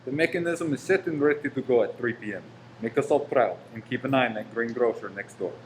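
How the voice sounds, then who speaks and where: Male actor as self-satisfied bomb-maker villain, precise radio drama delivery, medium pitch, faint noise. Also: fairly quick, lightly acted, low-quality recording. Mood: businesslike. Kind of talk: amateur radio play acting.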